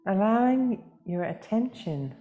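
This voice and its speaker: A woman leading a guided meditation as a yoga teacher, speaking gently and soothingly with long pauses, unhurried. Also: medium pitch, animated, soft background music.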